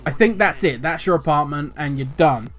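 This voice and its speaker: A man's voice: fairly high pitch, expressive, moderate pace, fairly bright tone, faint music behind. An older man hosting a gaming channel, talking through his views in a rambling, self-correcting, somewhat cynical way. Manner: cynical, grumbling. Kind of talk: casual commentary video.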